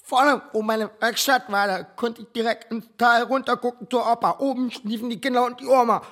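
A man playing an excitable, grumbling refugee craftsman in a radio drama, colloquial and blustering. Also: very high voice, brisk. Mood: indignant.

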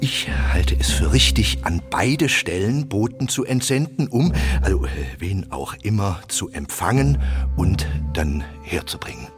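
A man's voice: lowish voice, big pitch swings, over music. An actor playing a learned old scholar, cautious and hesitant as he advises a prince in a radio play. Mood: solemn, explaining. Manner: hesitant, deliberate, explanatory.

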